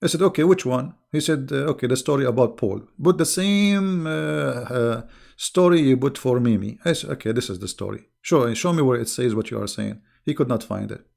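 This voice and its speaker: Gravelly man mocking his critics. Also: medium pitch, fairly quick. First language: Arabic. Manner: animated, mocking, scornful. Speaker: online religious debater. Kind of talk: casual monologue to viewers.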